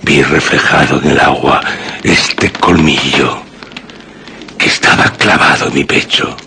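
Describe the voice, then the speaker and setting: Radio drama role: fearless older hunter uncle, solemn storyteller, gravelly, on a noisy recording. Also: male voice, a bass voice, measured pace, exaggerated voice. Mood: solemn, proud.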